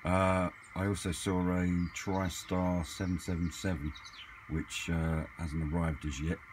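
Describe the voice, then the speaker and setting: Low-voiced man, noisy recording. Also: flat delivery, a little slow. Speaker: hobbyist vlogger. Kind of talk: casual chat, off-the-cuff equipment vlog. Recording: clearly noisy amateur camera recording.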